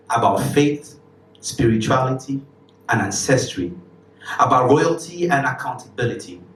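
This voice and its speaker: A man's voice: mid-range voice, a little hiss or hum. A man from Cameroon performing spoken word poetry on a live stage, with rhythmic, emphatic phrasing and casual asides. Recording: roomy, distant live recording.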